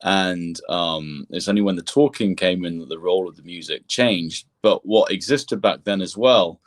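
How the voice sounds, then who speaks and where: A man's voice: sits low, normal speed. A man giving discursive explanations as an interview guest, often restarting and repeating words as he goes. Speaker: composer and sound engineer, interview guest.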